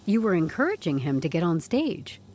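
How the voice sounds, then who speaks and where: An actress playing a tactful but persistent HR investigator, asking careful questions in a scripted audio drama. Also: female voice, fairly low pitch, very animated, a little fast, over loud music. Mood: probing. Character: probing, tactful female HR investigator, quietly persistent.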